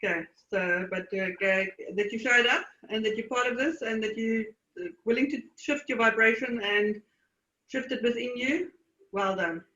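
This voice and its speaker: A woman leads a casual check-in for her meditation circle, encouraging each person in turn. Her voice is bright and forceful, and she stumbles and restarts often. The room around her is echoey. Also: on the high side, lo-fi sound. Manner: encouraging, halting with many fillers. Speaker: meditation group host.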